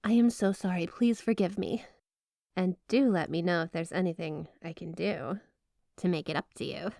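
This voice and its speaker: A woman's voice: on the low side, normal speed, gravelly, dark tone, no room sound, studio quality. A woman playing a flirty, obsessive yandere snow spirit wife in an ASMR roleplay, gushing, coy and sweetly doting. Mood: apologetic, eager. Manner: gushing, coy, playfully suggestive. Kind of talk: ASMR roleplay addressed to the listener.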